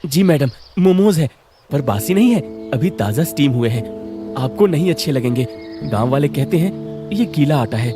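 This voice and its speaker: Man as earnest young village vendor, lively intonation. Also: a little high, moderate pace, music in the background, natural voice. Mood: humble, polite. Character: earnest humble young village momo seller. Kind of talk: cartoon story dialogue.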